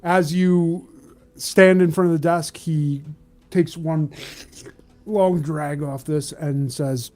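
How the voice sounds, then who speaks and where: A man's voice: a little high, fairly even intonation, takes it slowly, a little mellow. A man narrating an improvised scene as game master of a tabletop game.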